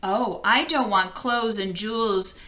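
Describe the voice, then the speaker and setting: A woman's voice: mid-range voice, slow pace, pushed and bright. A woman reading the part of an earnest, pleading male frog from a storybook fairy tale. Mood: earnest bargaining. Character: male frog, patient, earnest, bargaining for affection.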